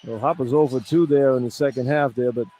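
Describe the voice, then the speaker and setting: A man's voice: mid-range voice, steady tone, brisk, mellow. A man giving live radio color commentary on basketball, explaining plays conversationally and often repeating his phrases. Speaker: color analyst. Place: college basketball arena. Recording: arena noise behind live broadcast. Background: arena ambience.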